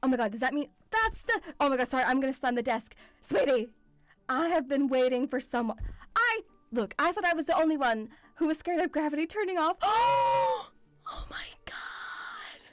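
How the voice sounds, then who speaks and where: A woman with a mixed European accent touched by Scottish, chatting casually as a livestream host in an excitable, rambling, sweary way. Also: sits high, normal speed, no room sound, faint music behind. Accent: mixed European with Scottish influence. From Lithuanian-Russian background, partly grew up in Scotland.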